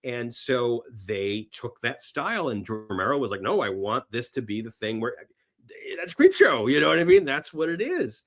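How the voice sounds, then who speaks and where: A man chatting casually and enthusiastically as a podcast guest about films, halting and rambling, sometimes voicing imagined reactions. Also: mid-range voice, very animated. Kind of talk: casual film talk in an interview.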